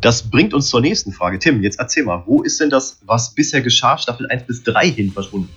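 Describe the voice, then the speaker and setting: A man's voice: medium pitch, lively intonation, brisk, a bit bright, slightly rough, dull audio. A man as a podcast guest, chatting eagerly and casually.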